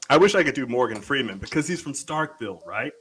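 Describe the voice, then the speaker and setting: A man from Mississippi co-hosting a casual panel show over a video call, telling stories with a booming voice that carries, swearing freely. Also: animated, fast, a bit bright, a little hiss or hum. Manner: booming, storytelling, swears freely, laughs a lot. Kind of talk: casual chat.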